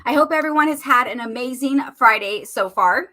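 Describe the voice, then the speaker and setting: A woman, chatty and bubbly, hosting a live makeup demo while losing her voice and clearing her throat. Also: high voice, normal speed, sharp tone. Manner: chatty and bubbly, addressing viewers as y'all. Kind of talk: casual live-stream makeup tutorial.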